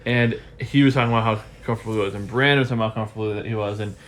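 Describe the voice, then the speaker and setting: A man's voice: lowish voice, steady tone, very fast, fairly bright tone, slight background noise. A stuffy, congested man hosting a casual podcast recap, rambling and repeating himself as he thinks. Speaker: podcast host.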